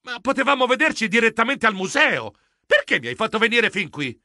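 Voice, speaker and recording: Man playing a shrill, flustered, high-pitched mouse. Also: wildly swooping pitch, slow, pushed and bright, big-room sound, full character voice. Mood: dismayed. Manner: dramatic exclamations, whining complaints. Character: excitable, easily flustered male mouse, fussy and long-suffering. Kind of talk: acted children's audio story dialogue.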